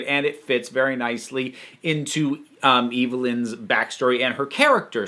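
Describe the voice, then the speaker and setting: A man's voice: mid-range voice, sharp tone. A man hosting a casual review monologue, talking in an enthusiastic, emphatic, conversational way. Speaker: host and reviewer.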